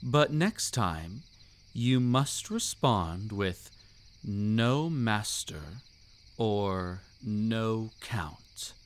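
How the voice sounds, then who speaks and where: Man playing a slow-talking, seductive vampire count. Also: on the low side, animated. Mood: commanding, teasing. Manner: slow, suave, teasing, theatrically formal and possessive. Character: seductive, commanding vampire count, ancient but youthful-looking man. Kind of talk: intimate roleplay audio drama, scripted monologue.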